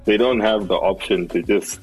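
A man from Kenya with a deep, husky voice tells his story loosely, full of "like" and "you know." He rambles and restarts often, and the sound has a radio broadcast feel. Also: moderate pace, faint music behind, rough recording. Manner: relaxed, rambling with many fillers and restarts. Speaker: farmer and entrepreneur, guest. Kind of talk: casual conversational storytelling.

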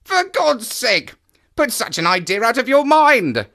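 A man playing a lively moorland naturalist. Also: very high voice, unhurried, creaky, exaggerated voice. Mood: alarmed. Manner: animated, enthusiastic explaining. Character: eager male naturalist of the moors, lively and knowing. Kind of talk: audio drama acting.

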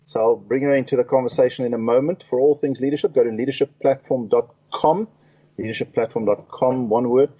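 Mellow-voiced male talk show host. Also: mid-range voice, breathy edge. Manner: relaxed, chatty, with frequent fillers. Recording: dull lo-fi sound.

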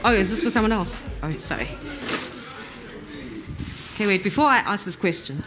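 A woman informally hosting an audience quiz at a live event, excitable, giggly and full of exclamations. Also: mid-range voice, normal speed, music in the background.

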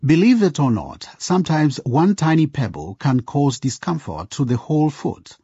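A man's voice: fairly high pitch, very animated, normal speed, mellow, breathy edge, lo-fi sound. A man narrating a scripted radio drama, addressing listeners like a storyteller. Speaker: narrator, presenter.

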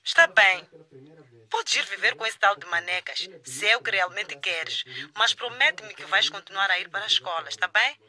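A woman's voice: mid-range voice, normal speed, pushed and bright. An actress in a radio drama playing a firm, exasperated middle-aged mother admonishing her teenage daughter.

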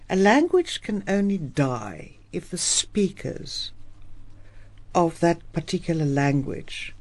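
A South African woman whose first language is Afrikaans, speaking with firm emphasis and a lively, rising-and-falling pitch. The sound is dry and close, with no room echo. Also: gravelly. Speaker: interview guest, Afrikaans writer. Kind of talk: radio interview.

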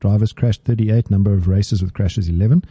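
A man's voice: fairly low pitch, fairly even intonation, fairly quick, warm tone, breathy edge, no echo. A man co-hosting a studio podcast in casual chat, teasing his partner in playful banter. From South Africa. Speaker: podcast co-host. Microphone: studio mic.

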